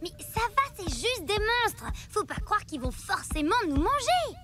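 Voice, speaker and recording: A theatrical young cartoon girl, very high and animated in pitch. She is carefree and headstrong, slipping between chirpy and whiny. Music is loud behind her. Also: unhurried, full character voice. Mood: excited, defiant. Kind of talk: animated series dubbing.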